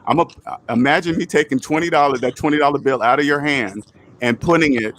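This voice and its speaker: A man's voice: on the high side, brisk, fairly bright tone. A man in his fifties hosting a call-in money talk, emphatic and repeating his points. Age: 50s. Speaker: host.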